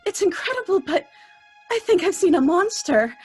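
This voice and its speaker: A woman's voice: very high voice, sing-song, moderate pace. A woman playing a frightened, insistent young woman in old-time radio melodrama, speaking in urgent, breathless broken phrases. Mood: terrified. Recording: music under the voice.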